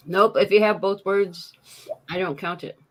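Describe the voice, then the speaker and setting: A woman hosts a relaxed live morning show and teases her co-hosts with quick comebacks. Her voice sits at a middle pitch, and she talks in an easy, chatty way. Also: a little hiss or hum, low-quality recording. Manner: playful, teasing, quick comebacks. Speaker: host.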